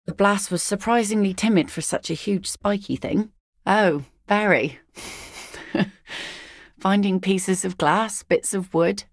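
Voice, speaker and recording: Tough old-school fisherwoman, gravelly and lowish, blunt dry humor, dead dry studio sound. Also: slow pace, no room sound. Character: tough weathered fisherwoman net picker, blunt. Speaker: game character. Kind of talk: video game voice lines.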